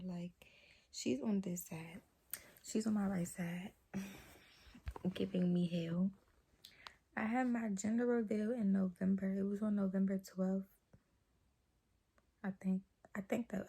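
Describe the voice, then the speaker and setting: Soft-spoken young woman with a low, husky voice. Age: young adult. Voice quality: naturally low voice. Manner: talks softly and low, rambling with many fillers. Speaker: vlogger. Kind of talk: casual chat to camera. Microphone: camera close to her face.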